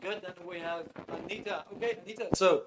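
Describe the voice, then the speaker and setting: A man's voice: high voice, fairly even intonation, brisk, background noise. A man in his fifties with a Dutch accent, hosting a live online webinar in an upbeat way and greeting viewers by name. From Netherlands. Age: 50s. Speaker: webinar host.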